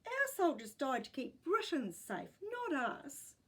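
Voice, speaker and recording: A woman's voice: sits high, sing-song, slow pace, a bit bright, voice pushed up, heavily acted. A woman voicing a sullen, stubborn young boy who mutters and snaps, in a storybook reading. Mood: stubborn, challenging. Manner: muttering, snappy. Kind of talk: storybook character voice.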